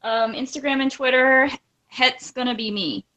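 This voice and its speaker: Bright-voiced woman chatting casually. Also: high-pitched, fairly even intonation, moderate pace, rough recording. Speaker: podcast panelist. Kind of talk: casual chat.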